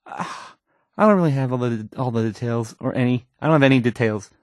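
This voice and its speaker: A man's voice: medium pitch, no room sound, studio quality, lightly acted. A man playing a timid, awkward young radio DJ in a video game, hesitant and apologetic as he stammers through the news in a shaky voice. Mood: flustered. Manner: stammering, halting, apologetic, trailing off. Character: timid, awkward young male radio DJ, anxious and unsure of himself.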